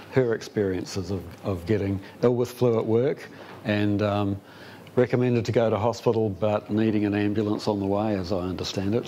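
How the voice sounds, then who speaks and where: A man with a lowish voice moderates an event. He thanks one speaker and introduces the next in a friendly, slightly hesitant way. A faint hiss runs in the background. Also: mellow.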